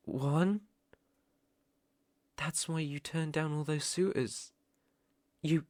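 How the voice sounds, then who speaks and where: A man plays a devoted British butler in a romantic ASMR roleplay. He speaks slowly in a slightly high male voice, proper and deferential, with a hesitant edge. The sound is dry and intimate. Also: dry recording. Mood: tender, adoring. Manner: polite, hesitant, stammering, deferential.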